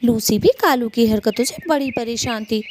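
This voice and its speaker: A woman's voice: a little high, normal speed, noisy background. A woman narrating a Hindi cartoon story as its storyteller.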